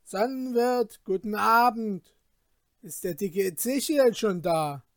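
A reader voicing a reckless young man, newly rich and boastful, talking loudly and in agitation. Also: mid-range voice, takes it slowly, no echo, clean studio sound, close to an everyday voice. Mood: swaggering.

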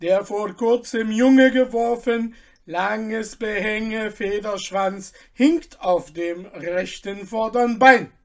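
A reader voicing a sly middle-aged merchant who talks calmly and in fine detail, with a mildly mocking manner, in a storybook reading. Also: medium pitch, slow, pitched above the performer's own voice.

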